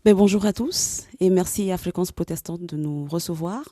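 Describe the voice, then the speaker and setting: A young woman from Gabon, a singer giving a calm, measured radio interview. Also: on the low side, no echo, clean studio sound. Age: young adult. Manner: calm, measured, occasional fillers. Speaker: guest singer. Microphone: studio microphone.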